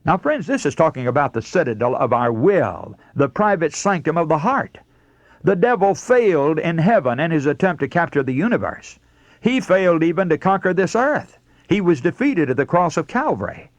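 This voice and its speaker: A man's voice: fairly high pitch, big pitch swings, moderate pace, dry recording. An American man preaching a radio sermon, speaking as the host in an earnest, emphatic, teaching manner. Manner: earnest, emphatic, didactic delivery. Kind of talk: radio sermon with scripture readings.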